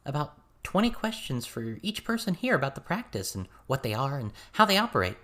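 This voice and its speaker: A woman's voice: a bass voice, big pitch swings, fairly quick, a bit bright, exaggerated voice. A woman playing an inquisitive, chatty, practical teenage girl in an audiobook. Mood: eager, curious.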